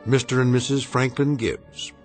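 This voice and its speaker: An actor playing a grave, knowing narrator of eerie tales in a radio drama, measured and portentous. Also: male voice, mid-range voice, fairly even intonation, natural voice. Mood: wry, knowing. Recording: prominent music behind the narration.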